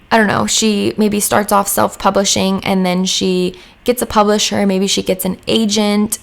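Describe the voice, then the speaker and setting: A young woman talks through a home-mic voice-over, a little hoarse today. Her voice has gravelly fry as she rambles, full of "like" and little asides. It sounds relaxed and chatty. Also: mid-range voice. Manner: rambling, chatty, lots of "like" fillers. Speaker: Sims speed build creator. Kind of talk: casual voice-over chat.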